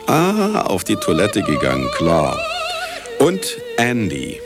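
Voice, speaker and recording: An actor playing an irascible older scientist who also narrates, theatrical and commanding, in a German radio play. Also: male voice, medium pitch, wildly swooping pitch, takes it slowly, over music. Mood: wry, commanding.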